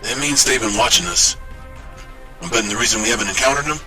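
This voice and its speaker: A man's voice: mid-range voice, fast talker, over music, natural voice. An actor playing a loyal, shaken crewman in a dramatic cyberpunk game cutscene. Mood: thoughtful.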